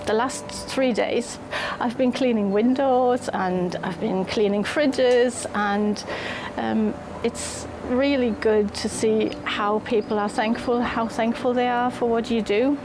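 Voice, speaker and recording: A woman in her fifties from Germany with a German accent gives a reflective, earnest personal testimonial as a volunteer, pausing and repeating phrases. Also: a little high, fairly even intonation, background noise. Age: 50s. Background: clear music under the voice.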